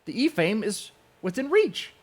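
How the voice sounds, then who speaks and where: A man voicing a shrill, aggrieved, mocking rival in an exaggerated, sneering dramatic reading, his voice raised and strained. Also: very animated, measured pace, heavily acted.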